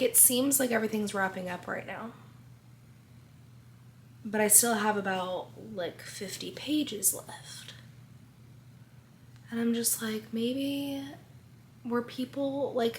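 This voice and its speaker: A young woman chatting casually in a reading vlog, expressive and full of "like", losing her voice. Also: a little high, a little hiss or hum. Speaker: book vlogger.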